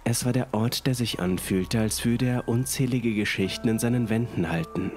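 A man's voice: mid-range voice, normal speed, a little mellow. A young man reading a scripted first-person horror story as narrator. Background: music.

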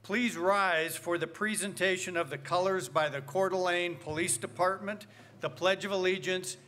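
A middle-aged American man giving a formal, measured welcome address at a ceremony as college president. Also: sits high, fairly even intonation, bright, forceful tone, slight background noise. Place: large gymnasium, on stage. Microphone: podium microphone.

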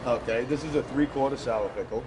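A man's voice: mid-range voice, fairly quick, noisy background. A man explaining his wares as a vendor in short, plain statements. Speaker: pickle vendor. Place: outdoors at a street market stall. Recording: crowded street chatter behind.

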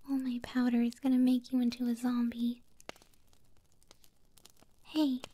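A woman voicing a possessive, doting young moth-girl girlfriend in an ASMR roleplay, speaking softly, breathily and tenderly, close to the microphone. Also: fairly high pitch. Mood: gently teasing. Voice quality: soft, breathy, whispery. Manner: slow, tender, teasing. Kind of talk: ASMR audio roleplay, intimate soft speech.

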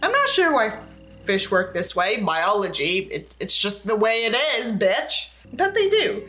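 A woman's voice: mid-range voice, big pitch swings, a little slow, bright, forceful tone, soft background music. A young woman reading a novel aloud with sarcastic, sweary commentary in a theatrical way. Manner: theatrical, acts out dialogue in raised tones. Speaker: book reviewer and host. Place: indoors in front of a tinsel backdrop.

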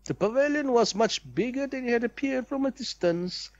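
A young man reads visual novel narration aloud as a let's player, deliberate and storybook-like, with casual asides. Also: very high voice, expressive, darkish tone, dry recording, dull audio.

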